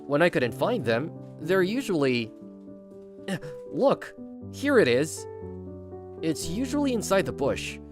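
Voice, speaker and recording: A man's voice: fairly high pitch, big pitch swings, slow, long pauses. A man voicing a kind, self-assured teenage schoolboy who narrates his own story in an anime-style dub, earnest and a little smug. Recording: music bed behind voice.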